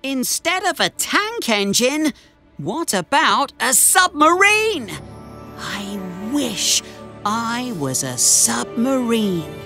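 Cartoon casting: cheerful young boy engine, high-pitched and lively, eager to help. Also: slow, over music, heavily acted. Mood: helpful. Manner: eager, chirpy, expressive. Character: cheerful, helpful young male tank engine. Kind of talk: children's animated cartoon acting.

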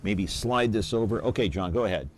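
A middle-aged American man with a low voice hosts a local TV talk show from a studio desk mic, with faint studio hum behind. He is brisk and keeps the guest on track, pushing toward breaks and calls. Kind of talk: local TV interview.

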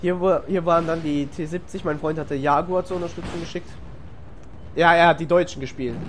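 High-voiced man with a cold, rambling. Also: steady tone, a little fast. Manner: rambling, filler-heavy, self-correcting. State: has a cold, coughs. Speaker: gamer commenting on a replay. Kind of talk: casual gameplay voice-over commentary. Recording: noisy home recording.

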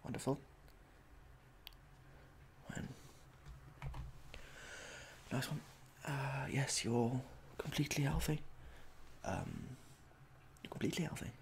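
Hesitant young man, creaky ASMR voice. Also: mid-range voice, huge pitch swings, a little hiss or hum. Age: young adult. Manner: halting, hesitant, awkwardly polite. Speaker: ASMR performer playing a medical examiner. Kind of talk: soft-spoken ASMR roleplay. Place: small domestic room indoors.

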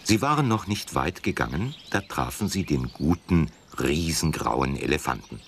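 A man's voice: extremely low pitch, animated, normal speed, slight background noise. A man narrating a scripted children's story as the storyteller.

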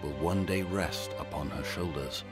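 An actor playing a noble, loving lord and father in a video game, speaking in a gentle, solemn, stately manner. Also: a bass voice, a little slow, over loud music. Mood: solemn, proud.

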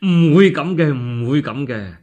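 A man voicing a smooth, persuasive matchmaking envoy with a Cantonese accent, courteous and emphatic, in a radio story. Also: fairly high pitch, lively intonation, a little slow, warm tone, highs cut off. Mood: coaxing, assured.

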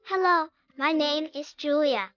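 A woman voicing a cheerful young English schoolgirl in a textbook dialogue, reading slowly and clearly. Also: high voice, no room sound, pitched above the performer's own voice. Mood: friendly. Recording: dry, slightly dull lo-fi.

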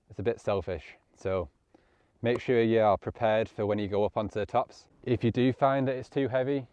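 Mellow, low-voiced Englishman, slightly muffled. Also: no echo. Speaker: landscape photographer presenting hiking tips. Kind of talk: casual instructional vlog talk to camera. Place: open grassy hillside outdoors.

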